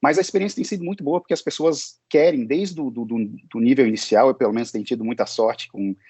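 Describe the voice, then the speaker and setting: A man's voice: medium pitch, quick pace, a little mellow, slightly rough, no echo. A man teaching English, answering interview questions in a hesitant way, with many fillers and restarts.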